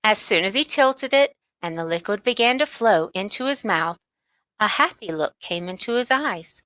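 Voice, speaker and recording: Bright-voiced woman reading a children's story. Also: medium pitch, expressive, normal speed, no room sound. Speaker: storybook reader. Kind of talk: scripted read-aloud narration for children.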